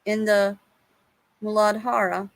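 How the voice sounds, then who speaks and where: A woman's voice: medium pitch, level tone, slow, creaky, slight background noise, poor audio. A woman hosting an online panel, reading a text aloud and stumbling over unfamiliar terms. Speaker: show host.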